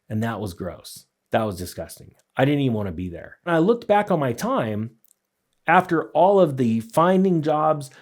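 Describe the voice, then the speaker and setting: A man's voice: mid-range voice, darkish tone. A man hosting a casual explanatory talk, speaking directly to viewers in a conversational way with frequent check-in questions. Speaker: host, side hustle course teacher.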